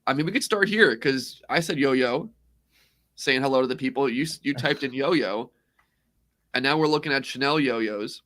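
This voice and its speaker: A man co-hosting a livestream in casual, joking chat, his voice a little hoarse, rambling upbeat talk. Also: mid-range voice, moderate pace, fairly bright tone. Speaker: co-host.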